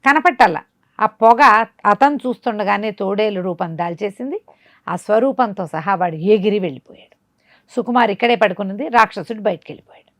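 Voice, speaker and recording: కథ చెప్పే మహిళ, పొడి స్పష్టమైన రికార్డింగ్. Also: female voice, mid-range voice, lively intonation, brisk, no echo. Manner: conversational, explanatory asides. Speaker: storyteller. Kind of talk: animated oral bedtime storytelling.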